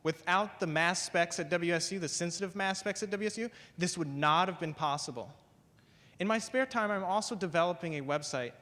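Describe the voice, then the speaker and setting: Man speaking slowly in an echoing hall. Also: on the high side, a bit bright. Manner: measured, repeats "basically". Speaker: graduate researcher speaker. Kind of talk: prepared talk, then onstage answer. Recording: long hall reverb.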